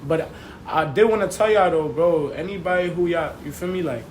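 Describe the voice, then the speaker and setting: A man's voice: a little high, fairly quick. A young man with a New York accent chatting casually in heavy slang, often checking that he is understood. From New York City. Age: young adult. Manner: slangy, frequent check-in tags. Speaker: podcast guest. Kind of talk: casual conversational interview. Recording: roomy echo, clear background noise.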